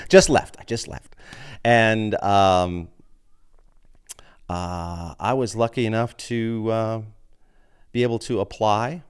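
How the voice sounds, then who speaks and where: Low smooth-voiced man chatting. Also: sing-song, extremely slow, long pauses. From United States. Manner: chatty storyteller who acts out quoted people. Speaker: podcast guest, open mic organizer. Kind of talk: casual interview chat.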